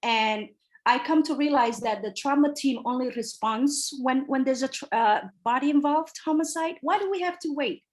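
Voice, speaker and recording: A woman's voice: high voice, normal speed, poor audio. A woman in her forties from Somalia, a city council candidate answering interview questions earnestly over a video call. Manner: earnest, emphatic, repeats key phrases.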